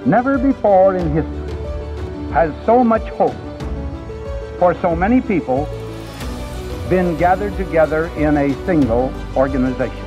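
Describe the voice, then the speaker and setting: Archival speech clip: a man with a high voice, solemn and slow, music underneath. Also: expressive, highs cut off. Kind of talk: formal 1940s speech.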